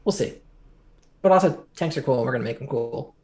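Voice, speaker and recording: A man co-hosting a game development stream, chatting casually with wry, relaxed asides over a video call from a home room. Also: medium pitch, a little fast, crowd noise. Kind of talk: casual chat during a dev stream.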